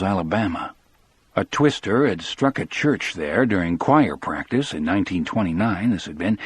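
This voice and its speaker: A man's voice: on the low side, slightly rough, dry recording. A man narrating an audiobook in the first person, in a scripted, dramatic storytelling style.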